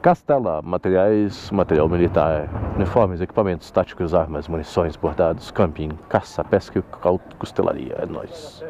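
A man's voice: normal speed, few pauses. A young man from Brazil, joking and rowdy as he rides a motorcycle through city traffic. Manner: joking, rowdy, swings pitch a lot. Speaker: motorcycle vlogger. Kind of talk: casual riding commentary. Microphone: helmet-mounted camera mic. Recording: dull lo-fi helmet cam with loud traffic noise. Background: traffic and engine noise.

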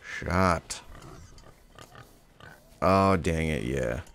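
A man with a low, gravelly voice talks through a video game in a casual, laid-back way. His pitch moves around freely as he thinks out loud, like a streamer keeping up a running commentary. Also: lively intonation, very slow, a little hiss or hum.

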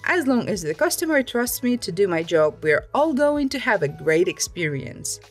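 A woman's voice: mid-range voice, sing-song, moderate pace, faint music behind. A woman reading online stories aloud as a host, in scripted storytime narration.

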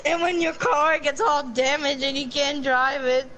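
A woman's voice: high-pitched, steady tone, a little slow, over music, poor audio. A giggly woman chatting casually and teasing her friend during a game stream. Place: voice chat over a game stream.

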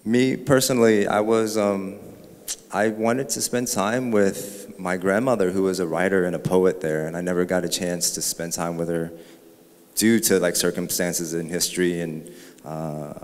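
A man's voice: sits low, steady tone, moderate pace. A man born in Vietnam, speaking thoughtfully and with some hesitation into a handheld mic at a panel talk. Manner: thoughtful, hesitant, jokes with the audience. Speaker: artist panelist. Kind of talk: panel discussion. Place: gallery room with a live audience. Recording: reverberant gallery hall.

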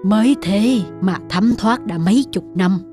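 A wistful older gentleman of a cartoon drama, voiced by a man, speaks as someone returning to a place of his past. Music plays clearly behind him. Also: high-pitched, unhurried. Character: wistful ageing father revisiting his old home. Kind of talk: cartoon dubbing.

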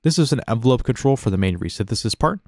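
A man narrating a casual step-by-step software tutorial in an informal, explanatory way. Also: medium pitch, expressive, fast, dry recording, clean studio sound.

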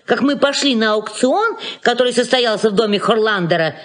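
Actress as a bossy old aunt, swooping delivery. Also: female voice, a little high, lively intonation, brisk, natural voice. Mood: quarrelsome. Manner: theatrical, scolding, emphatic. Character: bossy, nagging, house-proud elderly aunt. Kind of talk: Soviet radio play acting.

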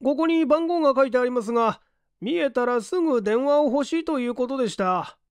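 Man playing a polite, higher-voiced office clerk. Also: lively intonation, a little fast, clear, resonant tone, no echo. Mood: dutiful. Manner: polite, dutiful reporting. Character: deferential male night-duty office clerk, around fifty.

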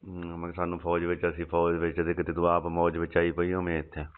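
A man's voice: sits low, completely flat delivery, fast. A man chatting casually and intimately on the phone. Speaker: soldier on leave, phone caller. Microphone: phone call. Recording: muffled lo-fi phone call recording.

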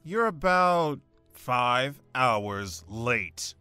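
A man's voice: mid-range voice, sing-song, drawn-out words, bright, forceful tone, clean tone, faint music behind, exaggerated voice. A man playing a resentful eldest mer prince in an audio drama, cutting and accusatory. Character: haughty, resentful eldest merman prince brother.

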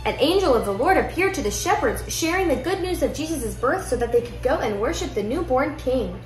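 A woman's voice: high-pitched, expressive, fairly quick. Young woman reading a storybook, roomy sound. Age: young adult. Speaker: tutor reading a picture book aloud. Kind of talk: children's storybook read-aloud. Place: indoor living room at home. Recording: roomy echo, music behind.

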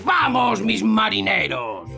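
A man's voice: sits high, very animated, slow, creaky, pushed and bright, exaggerated voice. An actor playing an elderly, grandiose theatre man who plays at pirates, in a children's cartoon dubbed in Castilian Spanish. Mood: rousing. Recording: music behind the voice.

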